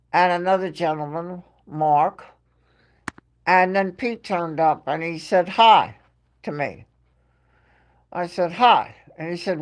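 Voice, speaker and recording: A woman with a New York accent hosting a livestream, telling stories in a chatty way and laughing often between phrases. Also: lowish voice. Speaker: livestream host. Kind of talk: casual chat to a live chat audience.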